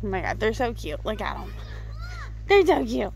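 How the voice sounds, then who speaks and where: A woman's voice: mid-range voice, wildly swooping pitch, normal speed, gravelly, a little mellow, faint music behind. A woman chatting casually with a child and cooing baby talk to animals. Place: dairy farm, among calves and cows. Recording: noisy farm ambience.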